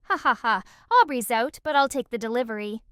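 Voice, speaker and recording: A woman's voice: fairly high pitch, expressive, brisk, a bit bright, clear, resonant tone. A woman playing a wry, teasing young sister in a scripted audio drama. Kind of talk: scripted audio-theatre acting.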